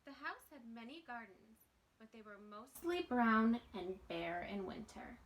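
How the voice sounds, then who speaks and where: A woman in her thirties reads a children's storybook aloud expressively, pausing deliberately between phrases. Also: fairly high pitch, sing-song. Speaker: teacher reading a storybook aloud.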